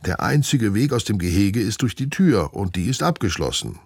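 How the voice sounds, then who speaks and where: A man's voice: fairly low pitch, big pitch swings, moderate pace, breathy edge, no echo, clean studio sound, pitched above the performer's own voice, heavily acted. A man voicing a practical, questioning young schoolboy in a children's story, with an eager manner.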